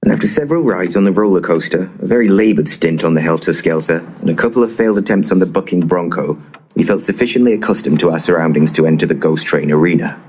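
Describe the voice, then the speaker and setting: A solemn paranormal investigator in a comedy audio play. The voice is very low and rough-edged. He talks in ponderous, formal sentences with a dryly absurd deadpan. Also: fast talker. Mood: solemnly narrating. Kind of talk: comedic audio drama acting and narration.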